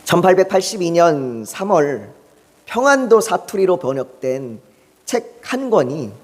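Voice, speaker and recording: A male pastor delivering a Sunday sermon, telling stories calmly and rising in emphasis when he urges his point. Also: high-pitched, unhurried. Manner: calm storytelling, rising in emphasis when urging. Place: church sanctuary. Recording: long reverb of a church hall.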